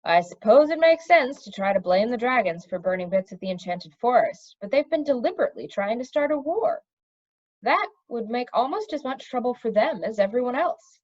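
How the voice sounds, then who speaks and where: A woman's voice: on the high side, sing-song, normal speed, a little mellow, no echo, muffled recording. A woman voicing a thoughtful young storybook king with a plain, earnest manner. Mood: musing.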